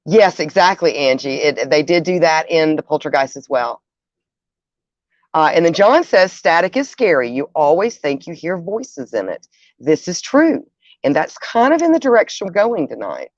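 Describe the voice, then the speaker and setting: Female livestream host, middle-aged, lower register, conversational and reflective, slightly muffled lo-fi sound. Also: very animated, normal speed, no room sound. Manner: conversational, musing, addresses listeners directly. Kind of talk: casual livestream chat, reading out viewer comments. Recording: dull, dry lo-fi audio.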